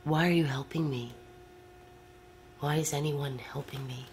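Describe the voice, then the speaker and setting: A woman's voice: low-pitched, fairly even intonation, unhurried, background noise. An actress playing a defiant woman fugitive who recounts her escape in a wry, conversational, matter-of-fact way in an audio drama. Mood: pleading, anxious.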